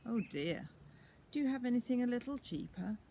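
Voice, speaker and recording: A woman voicing a polite, imaginative little girl in a children's storybook, eager and expressive. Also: on the high side, moderate pace, a little hiss or hum, voice pushed up. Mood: hopeful.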